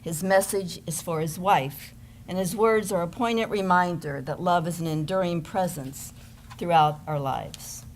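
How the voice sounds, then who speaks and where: A woman's voice: fairly low pitch, measured pace. A woman narrating a scripted stage reading, introducing pieces to an audience. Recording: live stage recording with background noise.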